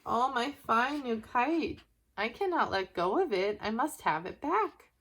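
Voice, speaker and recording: A woman's voice: fairly high pitch, lively intonation, unhurried, a bit bright, slightly rough, pitched above the performer's own voice, exaggerated voice. A woman voicing an earnest, friendly young boy in a children's storybook, with a plain-worded manner. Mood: dismayed.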